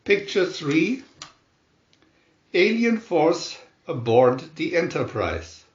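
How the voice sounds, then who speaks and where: Guy narrating a picture story real slow and dramatic, dull roomy recording. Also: a little high, big pitch swings. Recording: dull, echoey room recording.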